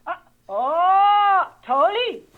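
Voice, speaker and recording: A woman's voice: extremely high pitch, expressive, drawn-out words, exaggerated voice. A woman with a Russian accent playing a bossy wife who scolds her husband over the phone in broken English, talking about herself in the third person, for a radio comedy bit. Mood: scolding. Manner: broken English, dramatic, refers to herself by name. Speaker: caller. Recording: muffled phone line.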